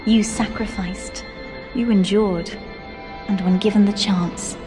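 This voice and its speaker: Actress as solemn young daughter, slow delivery. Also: female voice, medium pitch, steady tone, over music, lightly acted. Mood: solemn, grateful. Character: earnest young daughter reflecting solemnly on her father. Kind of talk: dramatic video game ending narration.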